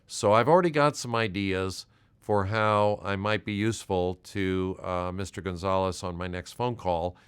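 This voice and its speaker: An American man speaking as a volunteer caller, courteous and measured, with hesitant pauses as he talks. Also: deep voice, smooth. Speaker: volunteer caller doing a welfare check. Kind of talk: gentle check-in questions and spoken case notes.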